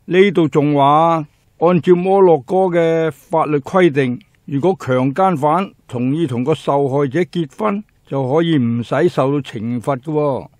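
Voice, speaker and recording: A man's voice: a little high, unhurried, a little mellow, no echo, clean studio sound, natural voice. An actor playing a gossipy, exclamatory male teahouse customer with a Hong Kong accent in a radio drama. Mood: outraged. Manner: exclamatory, goading.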